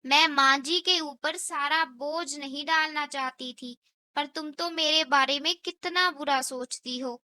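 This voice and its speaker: Lady doing an earnest young cartoon bahu, high voice, slow and careful, dutiful. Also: fairly even intonation, pushed and bright, dry recording, exaggerated voice. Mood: hurt, reproachful. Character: earnest, dutiful young daughter-in-law, gentle but hurt. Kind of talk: scripted cartoon story dialogue.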